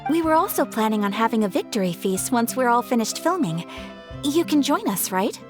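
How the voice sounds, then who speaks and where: A woman's voice: a little high, sing-song, brisk. A woman voicing a polite, earnest young film crew member in a video game. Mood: friendly. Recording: prominent game music behind the voice. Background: music.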